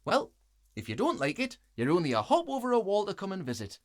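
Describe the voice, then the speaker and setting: Female actor as encouraging storybook fox for an audio adventure; folksy, reassuring, with an expressive melody. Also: medium pitch, fast, dry recording. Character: kindly, encouraging male fox, a wise companion. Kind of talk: family audio drama acting.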